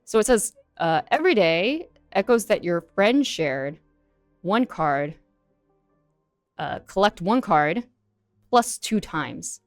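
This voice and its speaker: A woman's voice: mid-range voice, animated, takes it slowly, pauses often, no echo, studio quality, faint music behind. A young woman, a native English speaker, casually reading an article aloud and commenting on it with many fillers. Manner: chatty, many fillers, reads text aloud then comments. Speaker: streamer reacting to an article.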